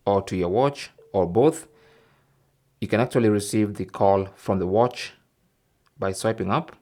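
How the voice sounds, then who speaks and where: A man with a low-pitched voice hosts an instructional tech tutorial. He explains things step by step, often checking that the listener is following along. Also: darkish tone. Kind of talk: instructional tech tip narration.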